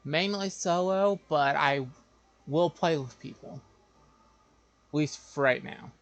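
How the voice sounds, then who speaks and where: A man chatting casually as a live game streamer, rambling and halting, often repeating himself. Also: fairly high pitch, dry recording.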